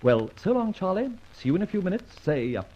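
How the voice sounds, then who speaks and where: An actor playing a dashing, breezy gentleman adventurer in an old-time radio detective drama. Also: sits high, sing-song, brisk, a little hiss or hum. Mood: breezy, scheming. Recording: dull, lo-fi old radio recording, faint music.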